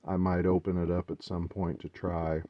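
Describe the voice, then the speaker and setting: A man with a low voice rambles through an informal show-and-tell as a hobbyist collector. He speaks in a halting, unscripted way, working out his thoughts as he goes. The recording is dull and muffled. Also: flat delivery. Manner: halting, unscripted, thinking aloud. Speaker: collector presenting his gear.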